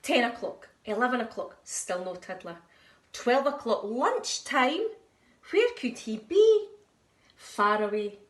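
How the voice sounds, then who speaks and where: A woman, a nursery teacher, reading a picture book aloud to young children in an expressive, sing-song way. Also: fairly high pitch, takes it slowly. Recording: echoey room.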